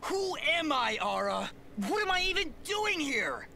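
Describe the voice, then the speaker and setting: A man's voice: very high-pitched, big pitch swings, measured pace, vocal fry, background noise, exaggerated voice. A brooding swordsman hero. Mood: anguished. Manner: anguished, intense. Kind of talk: game cutscene dialogue.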